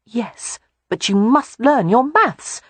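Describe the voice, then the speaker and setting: A woman narrator plays a spirited young woman in an audiobook. The delivery is animated, with a husky texture. She is independent, wry and frank. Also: on the high side, slow pace, no room sound, voice pushed up, heavily acted. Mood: curious, firm. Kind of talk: audiobook character voice.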